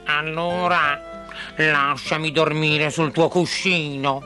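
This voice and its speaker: A man voicing a sad, pleading enchanted frog in a storybook tale, with drawn-out, insistent words over music. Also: on the high side, fairly even intonation, bright, forceful tone, exaggerated voice. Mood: plaintive. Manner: drawn-out, imploring delivery. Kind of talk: storybook character acting.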